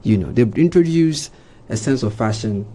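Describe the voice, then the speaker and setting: A man with a Ghanaian accent talking in a panel discussion in a measured way, searching for his words. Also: medium pitch, lively intonation, mellow. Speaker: panelist on a culture talk show. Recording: noticeable background noise.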